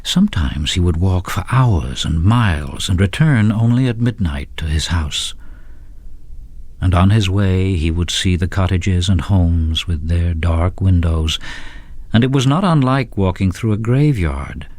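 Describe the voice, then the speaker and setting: A man with a very low voice reads a short story for radio. His phrasing is deliberate and atmospheric, and the sound is dry and close. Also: animated, a little mellow, dry recording. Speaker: story reader. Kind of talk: dramatic literary audiobook narration.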